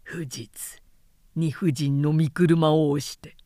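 A man's voice: fairly high pitch, fairly even intonation, takes it slowly, dark tone, no room sound, clean studio sound. A woman voicing a stern, loyal male general in a historical novel reading, with grave, archaic warrior speech. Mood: grave, commanding.

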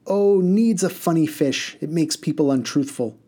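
A man's voice: a little high, normal speed, warm tone, pitched above the performer's own voice, close to an everyday voice. A man voicing a reckless, gleeful bus driver who bellows and rambles in sing-song riddles. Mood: bellowing riddles.

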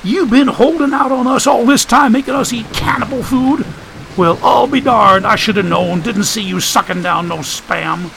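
A man voicing a brash, folksy, excitable wisecracker in an audiobook story. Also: extremely high pitch, normal speed, vocal fry, a little hiss or hum, pitched above the performer's own voice, exaggerated voice, poor audio. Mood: indignant. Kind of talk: audiobook character voice.

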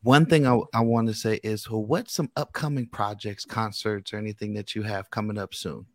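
A man's voice: on the low side, steady tone, moderate pace, dry recording. A man with an American accent hosting a radio interview in an informal, encouraging, slangy way. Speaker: gospel radio host. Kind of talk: casual interview chat.

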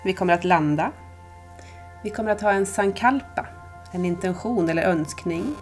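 A woman leading a guided yoga nidra meditation as instructor, calm, soothing and unhurried, with long pauses. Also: on the low side, soft background music.

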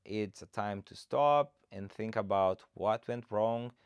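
Brazilian-accented man, low dry voice. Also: slow pace, clear, resonant tone, no echo. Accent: Brazilian Portuguese. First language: Portuguese. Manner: earnest, encouraging, motivational. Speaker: fat loss coach, podcast host. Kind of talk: solo podcast monologue.

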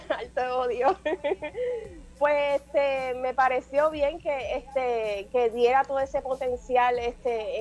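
High-voiced woman chatting. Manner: relaxed, laughs often, many fillers. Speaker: podcast co-host. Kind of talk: casual show review chat.

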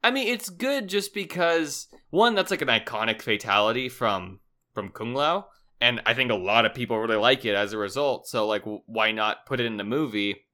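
A podcast co-host in a casual film review chat, giggly and animated, saying "like" a lot. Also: medium pitch, normal speed.

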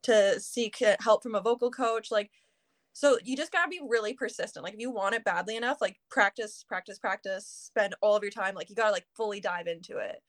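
A woman's voice: medium pitch, brisk. A young woman in her early twenties talks as a guest in an easygoing interview. Her voice sits in a middle register for a woman. She rambles freely and drops "like" between nearly every phrase. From Canada. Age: early 20s. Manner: chatty, heavy use of "like" fillers. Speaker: singer, guest. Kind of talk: casual interview chat.

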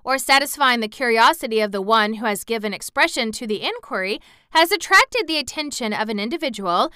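A long-winded village woman, read by a woman, talking in one endless roundabout stream. Her voice is bright and very clear, close and dry. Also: a little high, expressive, fairly quick, no room sound, voice pushed up. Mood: wordy.

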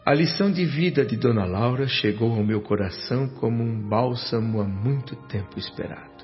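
Actor as a humble, reverent spirit narrator, muffled sound. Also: male voice, mid-range voice, normal speed, natural voice. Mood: moved, grateful. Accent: Brazilian. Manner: earnest, reverent, reflective. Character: humble, emotional male doctor spirit narrating his afterlife. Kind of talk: radio drama narration. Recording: dull audio with background music.

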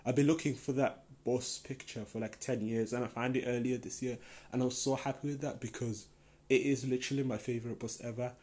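A man's voice: medium pitch, fairly even intonation, a little fast, warm tone. A young man who grew up in Denmark, hosting a casual solo podcast Q&A and talking in a rambling, enthusiastic way full of fillers. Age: young adult. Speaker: podcast host.

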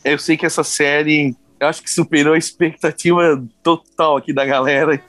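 Man, slightly higher voice, eager slangy banter. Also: flat delivery, moderate pace, a bit bright. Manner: enthusiastic, slangy, laughs often. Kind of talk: casual chat.

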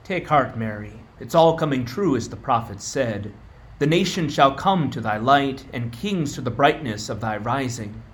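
Casting: man as devout, comforting husband, mid pitch with animated intonation, amateur radio drama. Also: normal speed, natural voice. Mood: reassuring, reverent. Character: kindly, reassuring, devout husband. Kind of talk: amateur radio play acting.

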